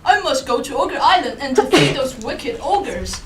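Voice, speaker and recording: A woman's voice: high-pitched, very animated, moderate pace. A woman voicing an earnest, polite young hero boy in a live story show, proper and declaiming. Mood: earnest, resolute. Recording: echoey room, distant mic, noisy.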